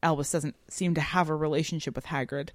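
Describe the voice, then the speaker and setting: A woman's voice: low-pitched, fairly even intonation, fast talker, no room sound, clean studio sound. A woman co-hosting a podcast, talking through literary ideas in a thoughtful, analytical, conversational way peppered with "like" and "right?". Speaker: podcast co-host.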